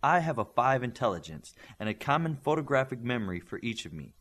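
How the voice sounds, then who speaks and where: A man voicing a brisk, efficient woman teacher in an audiobook. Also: medium pitch, very animated, fairly bright tone. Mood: instructive.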